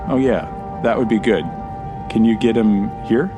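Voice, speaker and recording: An actor playing a wry, bewildered American traveler in an audio drama, with a casual, deadpan delivery. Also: male voice, on the low side, unhurried, soft background music, natural voice. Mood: wary, wry. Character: wry, bewildered American male traveler stranded among ghosts. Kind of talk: audio drama acting with first-person narration.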